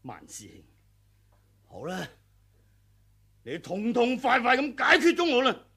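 An actor playing a treacherous, greedy aging male sword master in a 1980s radio drama, sly and sneering, with sudden furious yells. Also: very high voice, huge pitch swings, measured pace, slightly rough, exaggerated voice. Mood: defiant. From Hong Kong.

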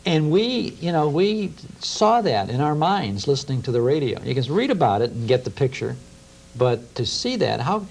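Gravelly American man explaining with enthusiasm. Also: a little high, sing-song, normal speed, darkish tone. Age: middle-aged. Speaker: guest, radio historian. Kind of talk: conversational interview. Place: small TV studio. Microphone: lapel mic.

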